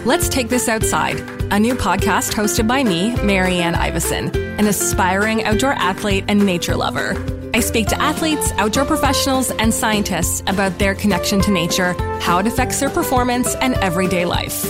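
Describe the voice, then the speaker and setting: A woman's voice: mid-range voice, expressive, normal speed. A woman podcast host reading a scripted promotional narration. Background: prominent music.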